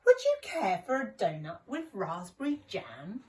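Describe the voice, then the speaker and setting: A woman voicing an eager male robber dog sidekick in an expressive storybook manner. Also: mid-range voice, a little slow, recorded from a distance. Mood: beaming. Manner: exclamatory, expressive storybook delivery.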